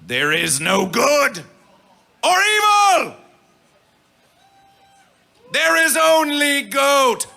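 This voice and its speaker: High, booming theatrical male festival emcee. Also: big pitch swings, vocal fry, bright, forceful tone. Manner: grandiose, declamatory, drawn-out delivery. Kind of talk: theatrical mock-prophetic stage hype. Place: music festival stage.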